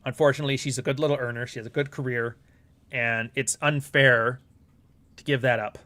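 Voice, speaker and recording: A middle-aged Canadian man hosts a live stream in loose, rambling chat. He talks bluntly and swears freely, with a Canadian accent and an ordinary mid-pitched voice. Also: steady tone, fairly quick, pushed and bright. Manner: blunt and profane, rambling asides. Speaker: livestream host.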